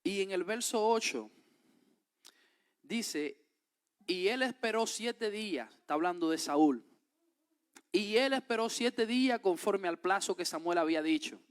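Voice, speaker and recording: Dominican male preacher, high-pitched, sermon with scripture readings. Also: lively intonation, a little slow, pauses often, clean tone. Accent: Dominican Spanish. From Dominican Republic. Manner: reads scripture aloud, then explains it with emphatic rises.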